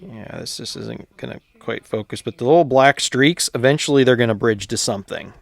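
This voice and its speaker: A man giving a casual, unscripted repair walkthrough, plainspoken and thinking aloud with pauses as he works. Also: mid-range voice, gravelly, dry recording. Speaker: hobbyist radio repairer presenting his channel.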